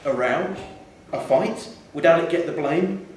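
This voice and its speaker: A man's voice: on the high side, measured pace. Echoey man reading a story. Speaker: short story reader. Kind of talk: live scripted story reading, narration. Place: theatre, live reading. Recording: echoey theatre hall, distant mic, faint noise.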